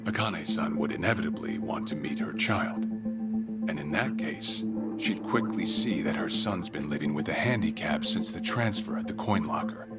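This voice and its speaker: An actor playing a grim middle-aged gangster, recounting the past in a measured, solemn way in a game cutscene. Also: male voice, sits low, natural voice. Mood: grave. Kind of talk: dramatic video game cutscene acting. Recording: game audio with loud background music. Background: loud cinematic music.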